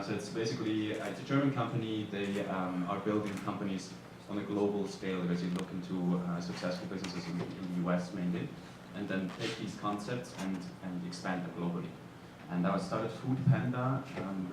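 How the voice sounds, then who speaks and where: A man's voice: deep voice, fairly even intonation, fairly quick, few pauses. A man from Switzerland, a startup executive, introducing himself on a panel with many fillers and restarts. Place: conference hall. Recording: echoey hall from far off, noisy.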